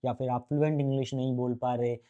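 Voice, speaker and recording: A man's voice: mid-range voice, flat delivery, quick pace, dark tone, lo-fi sound. A man from India giving a casual freelancing lesson, chatty and speaking straight to the viewer, slipping English phrases into his talk. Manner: chatty, mixes English phrases into Hindi, addresses viewer directly. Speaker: freelancing course instructor. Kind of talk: casual tutorial talk to camera.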